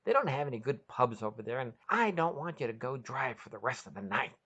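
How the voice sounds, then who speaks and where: A woman's voice: very deep voice, very animated, fairly quick, a bit bright, husky, muffled recording, heavily acted. A woman voicing a mischievous, fun-loving male alien in a storybook reading, confident and chummy. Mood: excited, chummy.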